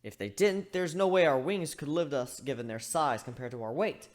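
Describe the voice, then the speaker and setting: A chatty male griffin voiced by a man tells his own fantasy story. His delivery is wry and conversational, wandering off into asides, and his pitch moves around in an animated way. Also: moderate pace, lightly acted. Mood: musing, rambling. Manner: conversational, rambling, self-interrupting. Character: chatty male griffin, wry, rambling first-person narrator. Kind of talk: dramatic reading of fan fiction, storytelling.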